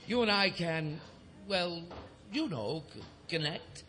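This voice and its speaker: A man's voice: a little high, animated, takes it slowly, pushed and bright, heavily acted. A man playing a smarmy, pushy suitor with blustery melodramatic delivery in comic live audio theatre. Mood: wooing. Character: smarmy, pushy, taunting male suitor and rival.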